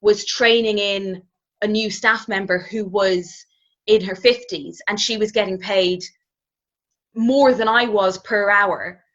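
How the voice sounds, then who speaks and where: A woman in her twenties with an Irish accent, a guest telling her story in a relaxed, reflective way and often hedging with "kind of". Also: medium pitch, slow. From Ireland. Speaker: interview guest. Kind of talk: casual conversational storytelling. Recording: slightly dull, muffled audio.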